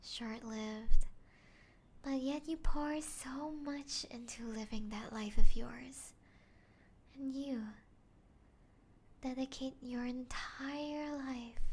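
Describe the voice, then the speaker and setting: A woman voicing a seductive, mocking, possessive vampire in an ASMR roleplay, teasing and taunting in a breathy voice that slips into whispers. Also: high-pitched, a little hiss or hum. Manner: teasing, taunting, slow breathy delivery with whispers and soft chuckles.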